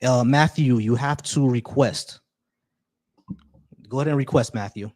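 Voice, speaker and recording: A man hosting a casual live stream, relaxed and chatty, greeting viewers with shout-outs and often restarting his sentences. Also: mid-range voice, measured pace. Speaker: livestream host.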